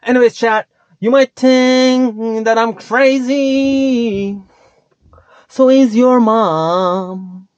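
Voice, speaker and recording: A man's voice: very high-pitched, very slow, pauses often, clean tone, no echo. A man whose first language is not English, rambling and swearing casually as he talks to his chat in a vlog. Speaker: vlogger. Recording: dull lo-fi sound.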